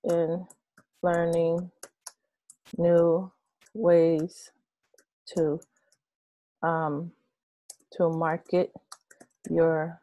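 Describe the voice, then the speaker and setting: A woman in her thirties, hoarse from losing her voice, casually explaining an online tutorial with many fillers and restarts. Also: lowish voice, monotone, very slow, long pauses, mellow, no echo, poor audio. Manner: rambling, hesitant, many fillers. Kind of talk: casual screen-share tutorial. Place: small room with red curtains, indoors.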